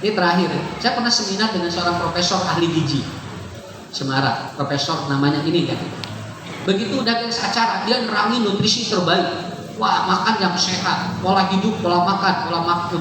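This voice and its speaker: Male preacher, Sundanese accent, humorous chatty delivery; distant, reverberant hall recording. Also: sits high. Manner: chatty, joking, mixing in local words, laughs often. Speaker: Islamic preacher. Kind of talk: lively humorous sermon to a congregation. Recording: echoey hall, far from the mic, noisy.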